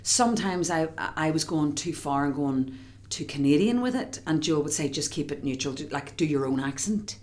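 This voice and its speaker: An actress with an Irish accent, a woman, chatting excitedly in an interview with many quick restarts. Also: low voice. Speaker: musical theatre actress, guest. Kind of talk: casual interview chat.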